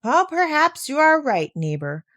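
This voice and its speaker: A woman's voice: high-pitched, very animated, measured pace, a bit bright, dry recording, studio quality, pitched above the performer's own voice, exaggerated voice. Woman reading as an earnest old village pastor. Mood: earnest, conciliatory. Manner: earnest, reproachful, formal.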